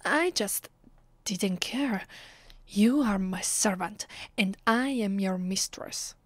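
A woman with an Italian accent playing a proud, conflicted vampire mistress in a breathy, whispered ASMR roleplay. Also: medium pitch, dry recording. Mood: haughty, guarded. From Italy. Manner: slow, deliberate, haughty.

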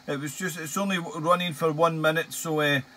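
A man's voice: fairly high pitch, fairly even intonation, fairly quick, sharp tone. A Scottish man chatting casually as a ham radio operator, repeating himself and reading out call signs. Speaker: amateur radio operator, hobby video maker. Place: small outdoor radio shack.

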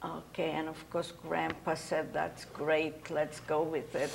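A woman's voice: low voice, level tone, takes it slowly, vocal fry, a crowd in the background. An older woman, a Hungarian native speaker, hosting a casual studio talk show and chatting with guests, laughing often, on a lapel mic. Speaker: host. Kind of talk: casual interview chat. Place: TV studio set.

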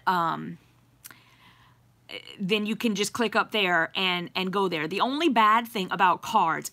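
A woman in her forties chats to her viewers from a home craft room, her voice clear and bright. She rambles along, full of ums and quick giggles, in an easy, unscripted way. The sound comes from a desk webcam. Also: mid-range voice. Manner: rambling, chatty, many fillers and giggles. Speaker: YouTube livestream host. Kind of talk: casual live-stream chat with viewers.

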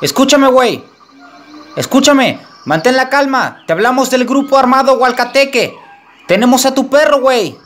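A man's voice: extremely high pitch, animated, normal speed, fairly bright tone, pitched above the performer's own voice. A man voicing a crude, menacing Mexican extortion caller in a comedy prank-call sketch, with a put-on Mexican accent. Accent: Mexican Spanish (put on). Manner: threatening, swearing, mock-tough.

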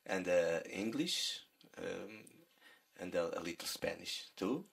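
Deep, husky Portuguese man, halting English, school panel. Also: animated, a bit bright. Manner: hesitant, searching for English words. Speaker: visiting teacher. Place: school classroom, indoors.

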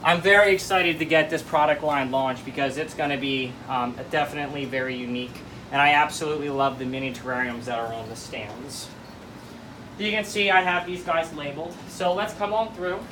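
A man's voice: fairly high pitch, fairly even intonation, a little fast, background noise. A young man giving a casual walkthrough tour of his plant shop's warehouse and grow room, upbeat and rambling with frequent ums, filmed on a handheld camera. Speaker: plant shop owner.

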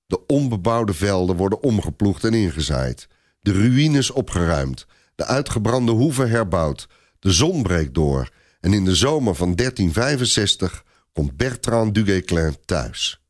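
Animated, lowish-voiced male storyteller. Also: measured pace, no room sound, clean studio sound. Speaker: narrator. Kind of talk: scripted story narration.

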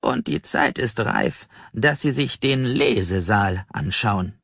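A man playing an elderly bookseller in an audiobook, a kindly mentor who speaks in a solemn, deliberate, fatherly way. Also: on the low side, animated, vocal fry, fairly bright tone, breathy edge, pitched above the performer's own voice, full character voice. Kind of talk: audiobook character voice. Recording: slightly dull, roomy audiobook recording.

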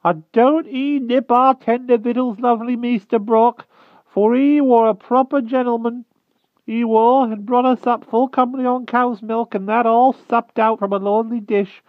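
A man's voice: very high-pitched, steady tone, moderate pace, dry recording, voice pushed up. A man voicing a timid old male hedgehog who pleads in a thick rustic country dialect. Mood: pleading. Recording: tinny phone-like dry sound.

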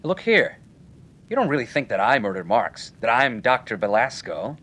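Breezy nightclub owner, lively swooping delivery. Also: male voice, medium pitch, normal speed. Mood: frank. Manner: colloquial, slangy, wry. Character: breezy, slangy male nightclub owner, wisecracking. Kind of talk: old-time radio detective drama acting.

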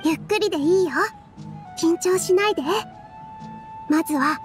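Game role: gentle, earnest young dancer girl, high soft voice, patient delivery over faint music. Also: normal speed. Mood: encouraging.

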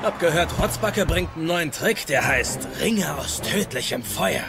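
A man playing a gossipy, contrary teenage Viking boy in an animated-series audio drama. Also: on the high side, measured pace, gravelly, background noise.

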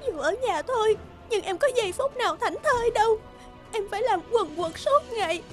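Casting: actress for weary, hurt young cartoon housewife, high plaintive voice, music underneath. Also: female voice, lively intonation, fairly quick. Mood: hurt, indignant. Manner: plaintive, emotional. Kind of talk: animated moral-tale voice acting.

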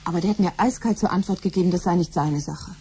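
Actress as mellow, pragmatic scheming wife. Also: female voice, on the low side, fast, a little hiss or hum, close to an everyday voice. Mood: matter-of-fact. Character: pragmatic, conspiring middle-aged mother and wife. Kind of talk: 1970s German science-fiction radio play acting.

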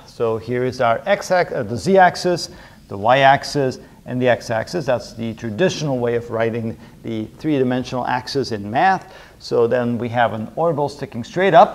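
A middle-aged man lecturing at a whiteboard, explaining step by step and often repeating his phrases. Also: mid-range voice. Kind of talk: chalk-talk chemistry lecture at a whiteboard. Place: indoor room with a whiteboard.

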